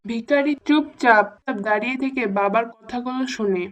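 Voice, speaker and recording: A woman's voice: a little high, moderate pace. A woman reading scripted narration for a moral story. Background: music.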